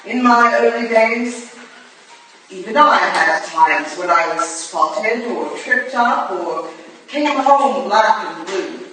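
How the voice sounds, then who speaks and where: A woman's voice: mid-range voice, expressive, takes it slowly, bright, forceful tone, a little hiss or hum. Woman acting stern Victorian lady mentor, echoey hall. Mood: stern, assured. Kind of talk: staged play reading. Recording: distant, very echoey live hall recording.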